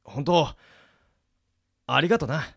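Man playing an animated, hapless teenage boy. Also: fairly high pitch, slow pace, gravelly, heavily acted. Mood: happy, grateful. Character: teenage boy, cheerful hapless dimwit unlucky in love. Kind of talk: amateur radio drama acting.

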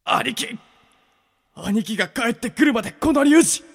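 High-pitched, excitable loyal gangster henchman. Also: unhurried, heavily acted. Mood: tearful, determined. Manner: stammering, melodramatic, rough henchman's slang. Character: excitable, loyal yakuza underling, earnest and easily flustered. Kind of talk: Japanese amateur radio drama acting.

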